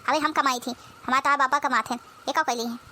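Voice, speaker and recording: A woman's voice: sits high, fairly even intonation, fast, pushed and bright, slight background noise. A woman voicing a demanding, sulky village sister-in-law in a comedy cartoon, whining and quarrelsome in an Awadhi accent. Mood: indignant. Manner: whiny, quarrelsome, rustic.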